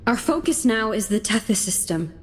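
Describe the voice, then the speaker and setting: A woman playing a resolute, idealistic young heroine in a game dub, giving measured, earnest speeches. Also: on the high side, big pitch swings, a little hiss or hum. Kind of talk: English game dub acting.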